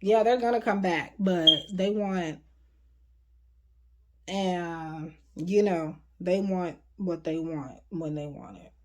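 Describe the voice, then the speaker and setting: A woman giving a casual, rambling tarot reading to her viewers, her voice going a bit hoarse. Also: on the low side, slow pace, mellow. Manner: chatty, rambling, frequent fillers. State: voice getting hoarse. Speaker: tarot reader.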